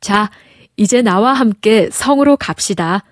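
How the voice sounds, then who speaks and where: Lady playing a polite gentle fairy tale prince, earnest, formal, dry close sound. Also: medium pitch, lively intonation, unhurried, no room sound, natural voice. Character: courteous, earnest young prince, admiring and gentle. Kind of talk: storybook character voice.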